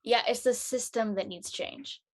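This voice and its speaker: A woman's voice: fairly high pitch, a little slow. An actress playing an easygoing, mildly teasing teenage girl in casual drama dialogue. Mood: casual, curious.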